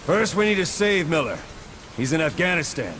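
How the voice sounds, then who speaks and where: A blunt mercenary commander. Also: male voice, on the high side, sing-song, moderate pace, heavy background noise. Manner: blunt, clipped, matter-of-fact briefing. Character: gruff male mercenary commander briefing a soldier. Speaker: commander giving a mission briefing. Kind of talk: video game cutscene acting.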